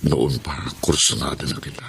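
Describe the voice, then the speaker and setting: A man's voice: extremely low pitch, level tone, normal speed, vocal fry, a crowd in the background, full character voice. An actor playing an older lecherous stepfather in a Tagalog radio drama, coaxing and insinuating with false sympathy.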